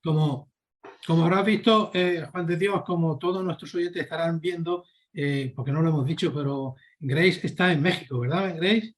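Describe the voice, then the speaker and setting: Slightly high-voiced male co-host. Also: normal speed. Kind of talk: hosting an interview.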